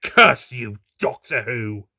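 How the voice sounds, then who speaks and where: Man putting on a booming, pompous horse villain. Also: expressive, takes it slowly, creaky, no room sound, studio quality, full character voice. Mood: vengeful. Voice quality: deep, booming. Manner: snarling, pompous, shouting. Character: large, vengeful, pompous male horse creature villain.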